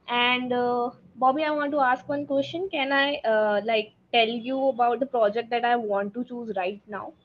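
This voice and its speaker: A young woman from India speaks earnestly on a video call, her voice in a middle range. She uses some fillers as she talks. The audio is a bit dull and lo-fi. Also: medium pitch. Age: young adult. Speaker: student introducing herself. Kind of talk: casual video call chat.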